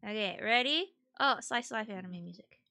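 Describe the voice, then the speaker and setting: Casual woman host, bright and animated, light guitar underneath. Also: medium pitch, normal speed, gravelly. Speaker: host of live dub session. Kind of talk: casual chat.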